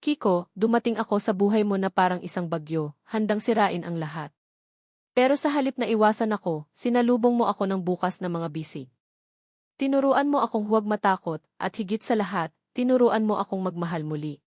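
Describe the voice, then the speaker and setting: A woman's voice: mid-range voice, lively intonation, brisk, darkish tone, dry recording, clean studio sound, close to an everyday voice. Woman acting a heartfelt, loving Filipino bride. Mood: heartfelt, grateful. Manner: heartfelt, sentimental declarations. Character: grateful, loving young bride, a former vendor.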